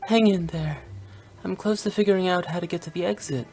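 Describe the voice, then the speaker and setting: A woman's voice: on the low side, a little fast, mellow, soft background music, natural voice. A woman voicing a playful, teasing young woman director in a video game, with theatrical storytelling. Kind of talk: scripted video game voice acting.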